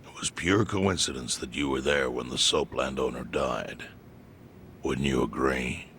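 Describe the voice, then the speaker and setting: An actor playing a grave, knowing older crime syndicate chairman in an English game dub, speaking in a deliberate, solemn and cryptic way. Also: very deep voice, a bit bright, a little hiss or hum, heavily acted. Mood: serious, explanatory.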